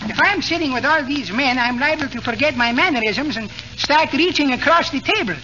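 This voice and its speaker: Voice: high-pitched, lively intonation, a little fast, sharp tone. An actor playing a flirtatious, mock-genteel dinner guest in 1940s radio comedy. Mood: coy. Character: flirtatious, unrefined woman dinner guest with airs. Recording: noisy, muffled 1940 radio broadcast.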